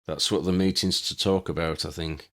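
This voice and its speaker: A man voicing a drugged, half-asleep male conspirator who answers in clipped monotone phrases. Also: low-pitched, normal speed. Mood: dazed.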